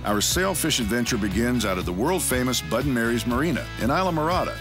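Man narrating fishing television over loud music. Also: mid-range voice, lively intonation, quick pace. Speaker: narrator and host. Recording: music under the voice.

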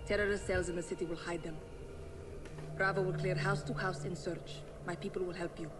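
A woman's voice: fairly low pitch, flat delivery, fairly quick, background noise, lightly acted. An actress playing a resolute female rebel commander giving a dramatic video game mission briefing. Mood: grave, resolute. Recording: loud music behind the voice.

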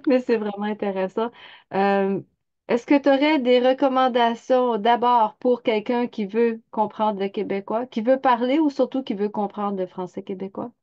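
A woman from Montreal with a Quebec French accent, hosting a relaxed chat on a video call. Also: on the high side, a little slow, no echo. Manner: relaxed, chatty, laughs easily. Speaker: host. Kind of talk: casual interview. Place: home room. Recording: dry, dull lo-fi call audio.

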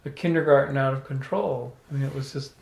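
A middle-aged man telling an animated, playful story to a small audience, holding a handheld microphone. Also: mid-range voice, fast, creaky. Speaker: salon speaker. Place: room with small live audience. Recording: echoey room.

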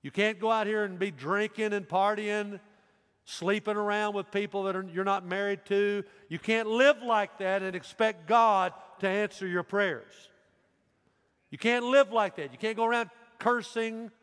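A man's voice: high-pitched, unhurried, a bit bright, clear, resonant tone. Emphatic preacher, older man. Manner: preaching with rising intensity, emphatic and direct. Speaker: pastor. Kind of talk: sermon. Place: large church sanctuary stage. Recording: long hall reverb in big sanctuary.

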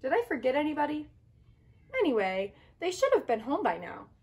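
A young woman reading a children's picture book aloud at home in an expressive, clearly phrased way. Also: sits high, a little fast. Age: young adult. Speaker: story-time reader. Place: small living room at home, indoors.

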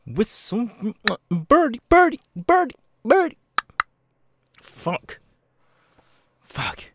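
A man playing a nervous, grateful young outcast with vocal tics in an ASMR roleplay, speaking haltingly and repeating words. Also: wildly swooping pitch, noisy background, full character voice. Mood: tic outburst. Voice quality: very deep voice with stuttering, sudden high-pitched tic outbursts.

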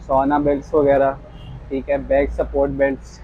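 A young man giving a casual, polite sales pitch, quoting products and prices as a shop salesman. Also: completely flat delivery, moderate pace, warm tone, muffled recording, slight background noise. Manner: polite, addresses customer as sir. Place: small tiled fitness equipment shop, indoors.

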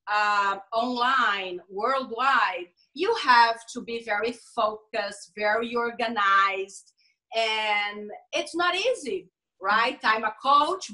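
A woman with a Brazilian Portuguese accent, a lawyer and coach, speaking carefully in an interview, with many pauses and fillers. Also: on the high side, pushed and bright, low-quality recording. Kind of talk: interview over video call.

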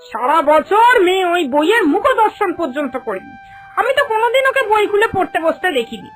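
High, nagging cartoon mother, voiced by a woman. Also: exaggerated voice, poor audio. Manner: scolding, expressive, exclamatory. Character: nagging, exasperated, sharp-tongued village mother. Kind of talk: animated cartoon voice acting.

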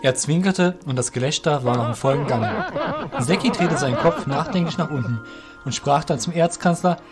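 A man's voice: fairly high pitch, lively intonation, moderate pace, over loud music. A man narrating a scripted fantasy audio drama as its storyteller.

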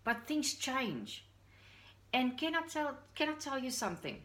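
A woman's voice: sits high, very animated, a little slow, a bit bright. A middle-aged woman with a Dutch accent talking earnestly to the camera in her living room as a parent vlogger. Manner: earnest, heartfelt, confiding. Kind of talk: personal talk to camera. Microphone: camera on a stand at a short distance.

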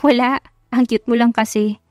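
A woman's voice: fairly high pitch, steady tone, moderate pace, a little mellow, no room sound, studio quality, soft background music, close to an everyday voice. A woman voicing an affectionate, playful young wife in love, in a romance audiobook. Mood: playful, sweet.

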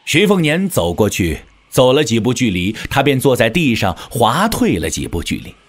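A man's voice: mid-range voice, moderate pace. A man narrating a martial-arts story in a theatrical, scripted storytelling style. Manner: theatrical, expressive.

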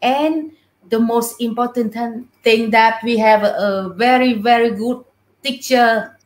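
A middle-aged woman with a Vietnamese accent speaks in a fairly high voice. She talks as an interview guest in simple, non-fluent English. The sound is a roomy video call. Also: fairly even intonation, a little slow. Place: indoor room, on a video call.

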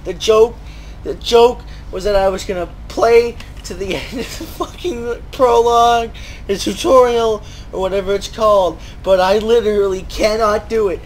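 A man's voice: sits high, fairly even intonation, normal speed. A man giving mocking, sarcastic game commentary, swearing and ranting as he goes. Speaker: gaming video host. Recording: noisy, game sound behind.

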